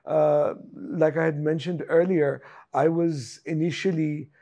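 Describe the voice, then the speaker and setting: A middle-aged man, a singer and composer, talking through his career in an interview, with many pauses and fillers. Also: on the high side, steady tone, warm tone. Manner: halting, many fillers and restarts. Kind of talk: reflective interview talk.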